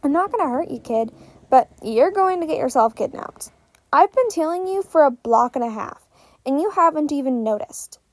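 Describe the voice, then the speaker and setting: A woman's voice: sits high, expressive, normal speed, no echo. A woman voicing a blunt, skeptical, streetwise homeless boy in an audiobook-style story. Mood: blunt, wary.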